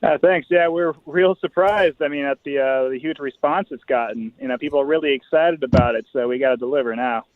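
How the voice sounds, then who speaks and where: A man's voice: mid-range voice, brisk, few pauses, no room sound. A man calling in as a guest, chatting casually over a thin, tinny phone line. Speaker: call-in guest, comics writer. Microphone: phone call.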